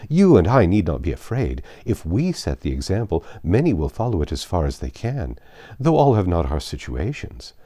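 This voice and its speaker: A man's voice: low voice, wildly swooping pitch, moderate pace, warm tone, exaggerated voice. A man voicing a pompous, gushing married society woman in a storybook reading, effusive, condescending and emphatic. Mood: self-satisfied, bossy. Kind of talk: audiobook character reading.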